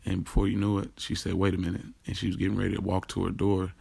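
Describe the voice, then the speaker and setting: An actor playing a lovestruck young man confiding his secret romance in a casual, slangy audio drama monologue, with a gravelly, husky voice. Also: low-pitched, completely flat delivery, fast, dark tone, exaggerated voice. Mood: hurt, confronting. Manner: informal, slangy, acts out quoted dialogue. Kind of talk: first-person audio drama monologue, conversational storytelling.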